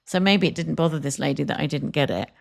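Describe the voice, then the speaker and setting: A woman's voice: deep voice, fast, gravelly. A woman co-hosting a podcast, chatting casually and rambling, laughing easily. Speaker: podcast co-host. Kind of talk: casual chat.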